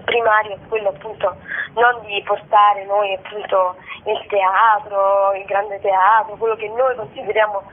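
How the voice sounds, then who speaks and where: A woman giving a spontaneous, enthusiastic radio interview as a festival co-director, wordy and halting, with repeated words. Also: mid-range voice, level tone. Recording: tinny, narrow telephone line on radio.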